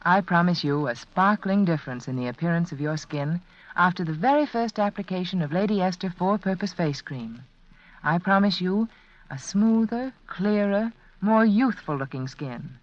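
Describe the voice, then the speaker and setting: A woman delivers a scripted 1940s radio advert as hostess. She is earnest and instructive, with expressive rises and falls, on dull lo-fi vintage audio. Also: on the low side, normal speed. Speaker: sponsor's hostess.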